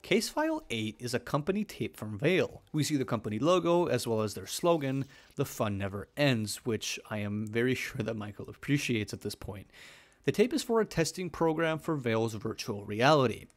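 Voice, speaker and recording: A man narrating a scripted explainer video as its presenter. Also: mid-range voice.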